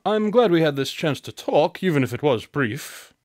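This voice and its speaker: A man playing a formal, higher-voiced detective. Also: animated, moderate pace, darkish tone, no echo, studio quality, lightly acted. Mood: composed. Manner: formal, precise diction. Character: composed, precise, confident male detective. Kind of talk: radio drama acting.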